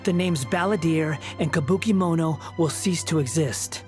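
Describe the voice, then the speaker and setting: A woman voicing a proud, brooding, sardonic young male wanderer in a video game, speaking in a cool, deliberate way. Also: deep voice, a little mellow, faint music behind, natural voice. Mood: resigned, resolute. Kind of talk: dramatic video game voice acting.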